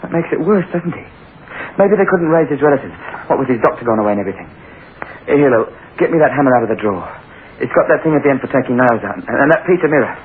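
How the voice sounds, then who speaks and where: An actor playing a desperate young English petty thief racked with guilt, intense and brooding in melodramatic old radio drama style. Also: male voice, medium pitch, expressive, fast, a little hiss or hum, close to an everyday voice. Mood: desperate, determined. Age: young adult. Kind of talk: old-time radio drama acting with confessional narration. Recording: tinny old radio recording.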